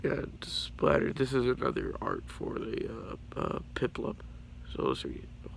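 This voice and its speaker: A teenage boy with a raspy, deeply gravelly voice, worn down by a few days of being sick. He rambles casually and apologetically through his card collection, tripping over names as he goes. The home recording is low quality, with a faint hiss underneath. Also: wildly swooping pitch, unhurried.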